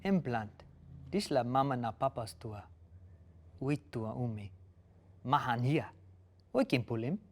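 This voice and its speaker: A man playing a small, excitable, superstitious creature in a fantasy table read, speaking broken pidgin mixed with invented words. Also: medium pitch, a little slow, long pauses. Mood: wary, simple.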